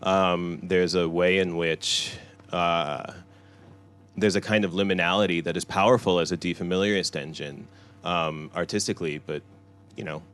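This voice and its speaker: A man in his thirties with a very low voice talks candidly at a bookstore event. He rambles loosely, filling his sentences with "right?" and "you know". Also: fairly even intonation, normal speed, fairly bright tone, clear, resonant tone. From Iran. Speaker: author at a book talk. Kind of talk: candid conversational talk.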